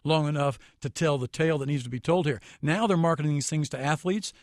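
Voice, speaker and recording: A man's voice: on the high side, fast talker, no room sound, studio quality. An American man giving critical, explanatory commentary as a guest on a radio talk show.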